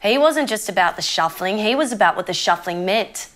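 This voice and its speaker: A woman's voice: mid-range voice, expressive, fairly quick, a bit bright, natural voice. An actress playing an opinionated young female zombie with a conscience, ranting conversationally and swearing freely in an Australian accent, as if interviewed for a mockumentary. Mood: fired-up indignation. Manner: sweary, indignant, conversational rambling.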